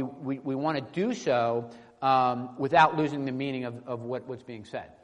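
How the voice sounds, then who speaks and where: Man, preacher, informal sermon, conversational and halting delivery, medium pitch, long hall reverb. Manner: conversational, halting, with repeated tag questions. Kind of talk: informal sermon with teaching asides.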